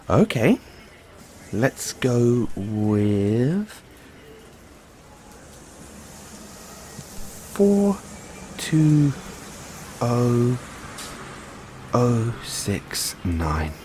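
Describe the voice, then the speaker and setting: Man playing animated geeky mad scientist boyfriend. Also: medium pitch, a little hiss or hum, heavily acted. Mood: playful. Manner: musing, halting, chuckling asides, drawn-out reactions. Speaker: audio roleplay performer. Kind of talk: intimate boyfriend audio roleplay, improvised-sounding monologue.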